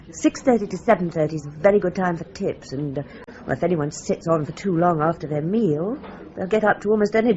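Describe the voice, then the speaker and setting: An actress playing a confident, talkative restaurant manageress in a radio drama. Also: female voice, mid-range voice, slight background noise, close to an everyday voice. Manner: assured, talkative. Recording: dull lo-fi audio.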